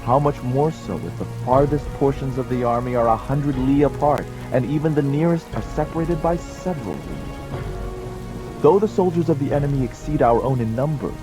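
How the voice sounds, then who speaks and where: A man reading a dramatized audiobook as narrator, in a solemn, measured and declamatory manner. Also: medium pitch, rough recording. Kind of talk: dramatized scripted narration of an ancient treatise.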